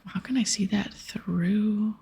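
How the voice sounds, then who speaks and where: A woman whispering in a breathy ASMR style as she casually plays through a game, chatting softly and reading dialogue aloud. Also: medium pitch, steady tone, takes it slowly. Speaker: host of an ASMR gaming series.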